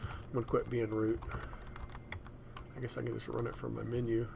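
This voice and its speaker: A man presents a software demo in a casual, rambling way, thinking aloud as he goes. His medium-pitched voice has some creak. Faint room noise and keyboard typing sit behind him. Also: monotone, normal speed. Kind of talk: casual screencast demonstration. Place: indoors at a computer.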